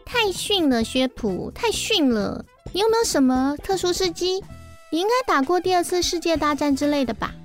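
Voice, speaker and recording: A cheeky young daughter in an audio drama. Her voice is very high and childlike. She is brash, teasing and bossy, over light background music. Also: expressive, moderate pace.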